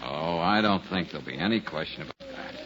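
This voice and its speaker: An actor playing a cool, wry, unflappable private detective in a 1940s radio crime drama. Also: male voice, low voice, lively intonation, a little fast, crowd noise. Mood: smooth, guarded. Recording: muffled old radio recording.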